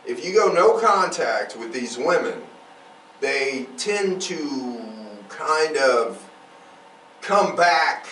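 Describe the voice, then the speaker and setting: A man hosts a casual podcast in a rambling, explanatory style. His mid-pitched voice moves up and down a lot. It is picked up from a little distance in an echoey room. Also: animated, very slow, sharp tone. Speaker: podcast host. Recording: roomy echo, distant mic, faint noise.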